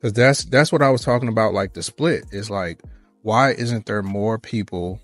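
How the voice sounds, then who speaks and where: Deep-voiced man chatting over soft music. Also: normal speed, no echo. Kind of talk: casual chat.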